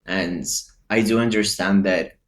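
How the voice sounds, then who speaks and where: A man's voice: low-pitched, fairly even intonation, a little slow. A man who grew up in Eastern Europe, giving casual, reflective opinion commentary and thinking aloud. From Eastern Europe, Lithuania and Ukraine.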